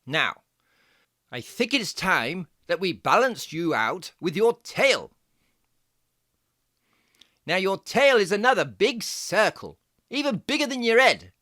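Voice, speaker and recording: A performer voicing a jaunty, fussy fox who gives playful, step-by-step drawing lessons for children in a theatrical, deliberate, encouraging way. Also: mid-range voice, sing-song, pushed and bright, no echo, studio quality, full character voice. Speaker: presenter of children's drawing tutorial.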